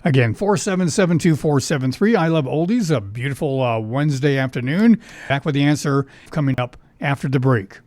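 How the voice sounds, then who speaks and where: A man's voice: medium pitch, fairly quick, a little mellow, dry recording. A man hosting a casual oldies trivia show on the radio, talking in a chatty, folksy, conversational way. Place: radio studio.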